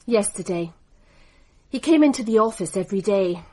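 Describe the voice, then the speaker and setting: Give me an actress as a composed racing executive with a cutting, dry delivery. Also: female voice, medium pitch, expressive, moderate pace, warm tone, close to an everyday voice. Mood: cool. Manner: composed, dry, crisply assured. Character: poised woman racing executive, cool and cutting. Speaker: racing business manager. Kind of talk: radio drama acting.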